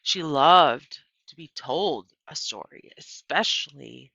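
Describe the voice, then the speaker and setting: A gentle preacher dad, voiced by a woman reader, reflective and measured as in a storybook. The delivery is bright and very expressive in pitch, recorded close and dry with a slightly muffled finish. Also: creaky, breathy edge, no echo, full character voice. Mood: wistful. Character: gentle, thoughtful preacher father recalling his wife. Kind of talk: children's audiobook character voice.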